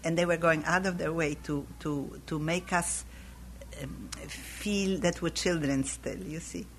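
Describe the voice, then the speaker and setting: A woman's voice: low-pitched, unhurried. An older woman from Poland, recalling her youth in an oral history interview, her voice scratchy from a cold. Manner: reflective, animated when recalling youth.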